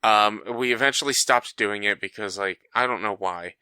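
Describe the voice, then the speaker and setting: A man's voice: fairly low pitch, moderate pace, clean tone, no room sound. A man in his thirties co-hosting a podcast, telling stories in casual chat, swearing freely and acting out what people said. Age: 30s. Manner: animated storyteller, swears freely, acts out quotes. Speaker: podcast co-host.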